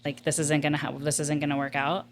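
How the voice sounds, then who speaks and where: A woman's voice: low voice, flat delivery, quick pace, slight background noise. A woman who leads a nonprofit, answering interview questions in an earnest, reflective and candid way. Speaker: nonprofit executive director, guest.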